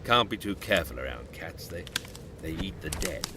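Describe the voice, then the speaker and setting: Pompous swooping British gentleman cannibal explorer. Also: deep voice, animated, moderate pace, background noise. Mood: falsely courteous. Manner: pompous, mock-genteel, old-fashioned phrasing. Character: pompous old-fashioned explorer turned sinister genteel cannibal. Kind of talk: theatrical audio drama acting.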